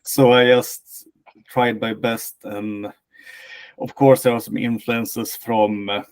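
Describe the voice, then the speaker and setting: A man with a thick Swedish accent, a band vocalist answering interview questions slowly and with many hesitations. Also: mid-range voice, flat delivery. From Sweden. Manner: slow, hesitant, many filler sounds. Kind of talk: casual interview talk.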